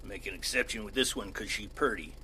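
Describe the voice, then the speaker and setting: An actor playing a paranoid, folksy rural gun-store owner with a gruff drawl in an audio drama. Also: male voice, lowish voice, a little fast, pushed and bright, slight background noise. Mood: folksy, boastful.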